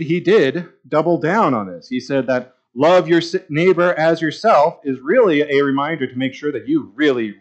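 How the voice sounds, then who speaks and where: A man in his thirties preaching a sermon at a church pulpit, conversational and wry with sarcastic asides. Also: medium pitch. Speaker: pastor. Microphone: pulpit mic, far camera. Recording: slightly dull church livestream audio.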